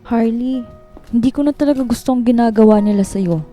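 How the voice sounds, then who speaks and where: A woman's voice: fairly high pitch, brisk, dark tone, soft background music, close to an everyday voice. An actress playing a supportive, concerned female office friend in a radio drama, chummy in manner. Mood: indignant.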